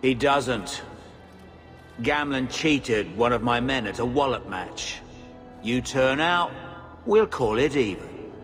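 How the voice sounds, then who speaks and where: An actor playing a gruff, crude male mercenary captain who talks bluntly and swears freely. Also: medium pitch, lively intonation, slow pace, long pauses, fairly bright tone. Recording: game audio with music behind.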